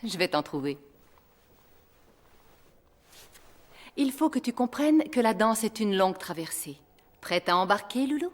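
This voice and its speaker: A woman's voice: medium pitch, big pitch swings, normal speed. A woman playing a passionate, encouraging dance teacher in a French cartoon. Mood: reassuring. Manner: encouraging, poetic.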